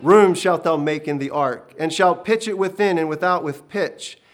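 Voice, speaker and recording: A man's voice: a little high, normal speed, voice pushed up, close to an everyday voice. A man voicing a stern, commanding divine voice that proclaims in solemn archaic speech. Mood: booming. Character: booming ancient divine voice, male, commanding and stern. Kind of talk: dramatic storytelling reading.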